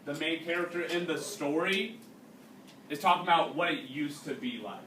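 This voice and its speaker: A man's voice: on the high side, sharp tone. A man reading a story aloud as a teacher, in an explanatory way aimed at students he questions along the way. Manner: explanatory, pausing to question young listeners. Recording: roomy, distant mic with faint noise.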